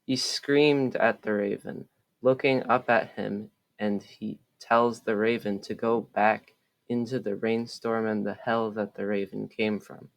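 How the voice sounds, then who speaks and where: A young man reads a story summary aloud at a slow pace. His mid-pitched voice is that of a student narrator working from a script. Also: rough recording. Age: teens or young adult. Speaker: student reader.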